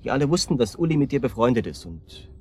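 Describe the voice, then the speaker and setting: A man's voice: mid-range voice, big pitch swings, darkish tone, lightly acted. An actor as a hesitant, self-doubting young man. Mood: guilty, hesitant. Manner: halting, repeating himself. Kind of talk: naturalistic German radio drama dialogue. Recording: noticeable background noise and ambience.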